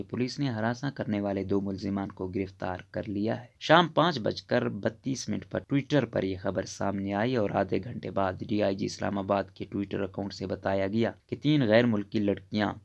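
Low gravelly male news voice-over. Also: a little mellow, dull audio. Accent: Urdu. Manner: formal, measured news reading. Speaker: news narrator.

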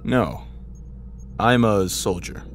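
An actor playing a terse, guarded soldier with a curt, dry delivery in a comedy audio drama. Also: male voice, lowish voice, slow pace, a little hiss or hum.

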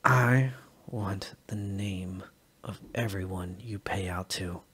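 Brief: male reader voicing a husky, whispering, icy security chief, older. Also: slow pace, heavily acted. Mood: threatening. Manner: low quiet whispery menace. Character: cold older male security officer, menacing.

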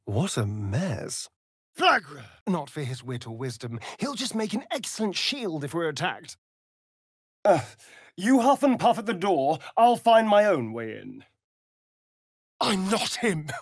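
A flamboyant British vampire elf from a fantasy game. His delivery is slow and drawn out, with pitch that swoops dramatically through each phrase. He sounds vain and sardonic, recorded close and dry. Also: big pitch swings, breathy edge, dry recording, full character voice. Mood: arch, mocking. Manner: arch, drawling, mocking, dramatic. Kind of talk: theatrical fantasy video game acting.

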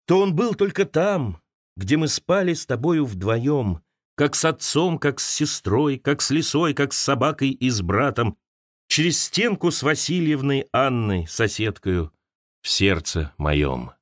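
A man reads a personal essay as an audiobook narrator. His mid-pitched voice moves widely up and down, with dramatic pauses and shifts between wry and wistful. The recording is close and dry, as in a studio. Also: very animated, no room sound. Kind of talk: expressive literary essay narration.

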